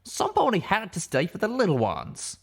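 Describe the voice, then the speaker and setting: A man performs an elderly male pony survivor in a storybook reading. He is blunt, grim and folksy. The voice sits high and pushes out louder than plain narration. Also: wildly swooping pitch, moderate pace, creaky, slightly rough, heavily acted. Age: older.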